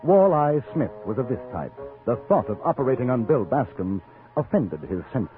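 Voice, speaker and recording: An actor playing an unflappable English gentleman adventurer in an old radio western, polite and dry with a British accent. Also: medium pitch, sing-song, normal speed, faint music behind, lightly acted. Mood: measured narration. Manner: polite, dry, clipped, formal. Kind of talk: old-time radio drama acting and narration. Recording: muffled vintage radio recording.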